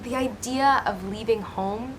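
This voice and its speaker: A woman in her twenties from Hawaii, an actress answering interview questions in an earnest, expressive way with frequent restarts. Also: on the high side, a little slow, background noise. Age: 20s.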